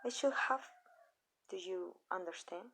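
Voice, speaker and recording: Vampire mistress, played by a woman, for ASMR roleplay: soft, gravelly fry, tender and possessive, slow-weighted pauses, close and confiding. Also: fairly low pitch, full character voice. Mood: proud, protective. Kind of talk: ASMR roleplay, intimate scripted monologue.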